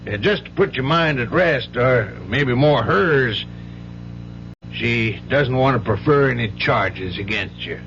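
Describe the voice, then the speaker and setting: Family doctor, folksy, stern yet reassuring, medium-pitched, vintage radio drama with clearly noisy, muffled sound. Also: male voice, expressive, slow pace, a bit bright. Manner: folksy, matter-of-fact, admonishing. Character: brisk, stern but reassuring small-town family doctor. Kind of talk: old-time radio drama acting. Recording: muffled, noisy old radio broadcast with hum.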